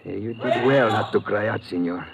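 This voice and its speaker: Low-voiced, formal gunman. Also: normal speed, gravelly, a loud crowd. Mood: coldly formal. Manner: formal, clipped commands. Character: short, heavy foreign gunman, menacing but formally courteous. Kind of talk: 1940s-50s radio drama acting.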